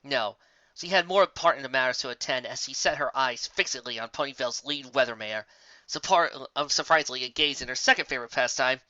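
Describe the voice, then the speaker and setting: A narrator reads a story in a measured, deliberate way. The voice is bright and forceful, on a dull recording with no room sound. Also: mid-range voice, dry recording. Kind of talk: scripted fan fiction reading. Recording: dull lo-fi close dry recording.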